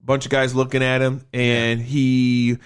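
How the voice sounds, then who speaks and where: A man co-hosting a podcast, casually retelling a film in an animated way, swearing freely as he goes. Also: mid-range voice, measured pace, smooth. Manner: animated retelling with acted-out quotes and profanity. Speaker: podcast co-host. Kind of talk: casual film recap chat.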